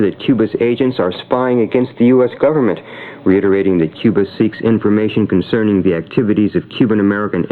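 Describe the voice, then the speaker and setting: A narrow, tinny shortwave radio signal carries a man with a fairly low voice. He reads the news from a script in a formal, measured delivery. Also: a little hiss or hum. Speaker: radio news bulletin reader. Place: radio studio.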